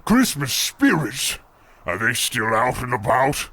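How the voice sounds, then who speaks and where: An old radio-play villain played by a man, a grasping landlord with a middling pitch. He is scheming and heartless, taunting his tenants with theatrical relish. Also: sing-song, slow, pushed and bright, breathy edge, full character voice. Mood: indignant. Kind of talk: parody of old-time radio acting.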